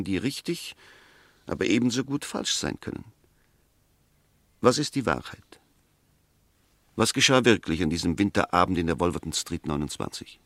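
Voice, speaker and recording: An actor in a 1960s German radio play, playing a measured, incisive male defence lawyer who argues formally and methodically. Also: on the low side, no echo. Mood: grave, emphatic. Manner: articulate, methodical, rhetorical, formal.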